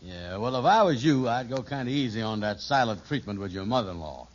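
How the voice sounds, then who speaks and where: An actor playing a plain-spoken friend in a 1940s radio sitcom, speaking in a folksy dialect. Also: male voice, lowish voice, animated, brisk, close to an everyday voice. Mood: cautioning. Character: plain-spoken, folksy man advising his married friend. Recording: muffled old radio recording, faint hiss.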